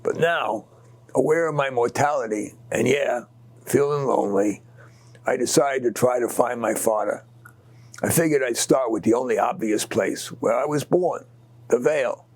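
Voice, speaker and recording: A man's voice: medium pitch, moderate pace, darkish tone, lightly acted. A man voicing a lonely, aging male dog who reflects plainly on his life. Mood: wistful, resolved.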